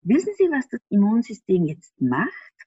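Lively Austrian woman explaining health. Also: mid-range voice, normal speed, breathy edge, no room sound. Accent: Austrian German. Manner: animated, laughs often, explains with rhetorical questions. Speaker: interview guest. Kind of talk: explanatory interview talk. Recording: slightly dull dry sound.

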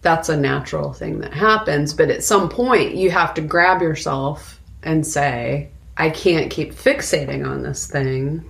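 A woman's voice: deep voice, measured pace, gravelly, hardly any pauses. A woman co-hosting a podcast, talking casually and reflectively about a film in an informal way. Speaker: podcast co-host. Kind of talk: casual conversational film discussion.

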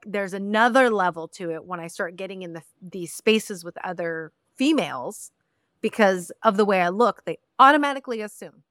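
A woman from Mexico talks in a relaxed podcast interview, candid and emphatic, often repeating a point to press it home. Her voice sits at a middle pitch. The sound is dry and close, with no room echo. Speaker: podcast host. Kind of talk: casual interview conversation.

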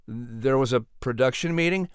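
A man's voice: medium pitch, moderate pace, clear, resonant tone, dry recording, studio quality, pitched below the performer's own voice, natural voice. A man playing a nervous, fretful announcer in comic children's podcast banter, stammering and flustered. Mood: anxious.

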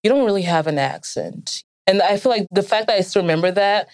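A woman's voice: medium pitch, animated, fast, a little mellow, no room sound, studio quality. A young woman from Nigeria and the United States, speaking in an American accent with Nigerian traces as she tells reflective personal stories in an interview. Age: young adult.

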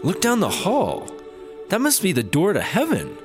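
Wildly animated, blithe comic sidekick. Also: male voice, high-pitched, moderate pace, music in the background. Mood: excited. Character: blithe, gullible, self-important comic male squad partner. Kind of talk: sci-fi comedy audio drama acting.

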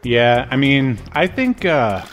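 A man's voice: medium pitch, big pitch swings, slow, faint music behind. An American man chatting casually as a podcast co-host, with jokey, mock-indignant asides. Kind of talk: casual review banter.

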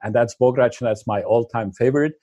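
Man, podcast guest, husky, hesitant, dry close sound. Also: mid-range voice, fast talker, mellow, no room sound, highs cut off. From Slovenia. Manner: hesitant, many fillers and restarts. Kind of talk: casual interview chat.

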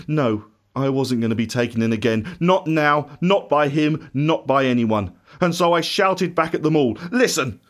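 A man playing a bitter, grief-stricken disciple in a dramatic first-person monologue, emotional and theatrical, swinging from hushed to shouting. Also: medium pitch, close to an everyday voice. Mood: defiant. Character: anguished, bitter doubting male disciple recounting his grief.

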